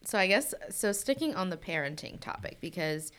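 Female podcast host, low smooth voice, chatty, rambling questions. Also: big pitch swings, normal speed, fairly bright tone. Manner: chatty, asks long winding questions. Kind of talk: casual conversation.

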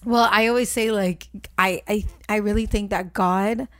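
A woman's voice: medium pitch, moderate pace, dry recording. Casual podcast co-host, young woman from Honduras, blunt and profane, dry close sound. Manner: blunt, swears freely, animated storytelling. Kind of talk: casual chat.

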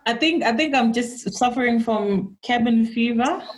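A woman introducing herself in a relaxed, chatty way as a guest. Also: fairly high pitch, moderate pace. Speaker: quantity surveyor guest. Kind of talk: casual introduction.

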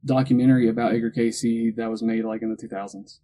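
A young man hosting a casual podcast chat, retelling a story in an animated way and acting out quotes. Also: on the low side, quick pace. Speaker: podcast host telling the story.

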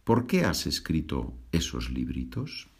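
A man's voice: extremely low pitch, wildly swooping pitch, a little slow, creaky, darkish tone, slightly rough. A man hosting a language-learning podcast, patiently repeating and translating each sentence. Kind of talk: slow bilingual teaching podcast.

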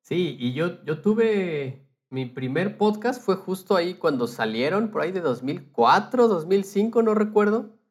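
Un hombre invitado a un podcast que conversa de manera informal. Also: male voice, sits high, animated, a little slow. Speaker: podcast guest, podcaster with radio experience. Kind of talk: casual conversation.

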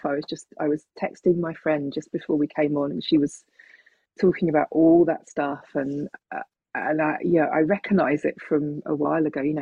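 Middle-aged woman, husky, creaky, thoughtful and hesitant, casual conversation, muffled lo-fi recording. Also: deep voice, mellow, no room sound. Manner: hesitant, thoughtful, chuckles while talking.

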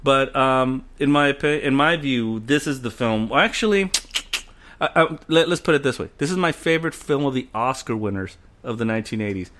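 A man's voice: medium pitch, fairly quick, a bit bright. A man co-hosting a casual film discussion, blunt and opinionated, often laughing. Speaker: podcast co-host.

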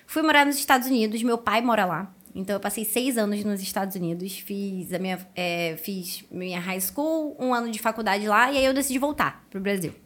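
A woman in her thirties with a Carioca Brazilian accent, telling her story casually as an interview guest, chatty and colloquial, quick to laugh. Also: medium pitch, fairly bright tone. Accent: Carioca Brazilian Portuguese. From Rio de Janeiro, Brazil. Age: 30s. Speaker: podcast guest, educator and speaker.

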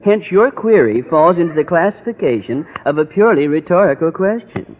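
An actor playing a fussy, literal-minded school custodian with precise, formal speech in an old-time radio comedy. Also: male voice, a little high, animated, brisk, slight background noise, lightly acted. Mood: pedantic. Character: pedantic, literal-minded male school custodian, proud father. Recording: tinny old radio recording, studio audience.

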